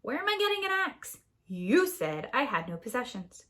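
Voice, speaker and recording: A woman voicing a cheeky, chatty young boy in a storybook, quick to argue back. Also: fairly high pitch, sing-song, pushed and bright. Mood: protesting. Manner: cheeky, argumentative.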